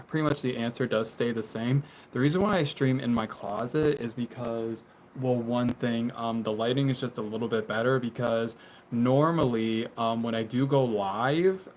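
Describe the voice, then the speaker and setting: A young man in his twenties talks loosely to his viewers, stumbling through fillers and restarts and laughing now and then. His voice sits at an ordinary middle pitch. A faint background hum is in the room with him as his phone on a tripod picks him up from inside a small closet. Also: low-quality recording. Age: 20s. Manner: rambling, many fillers and restarts, laughs often. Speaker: live streamer. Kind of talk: casual live stream chat, reading viewer comments. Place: small bedroom closet, indoors.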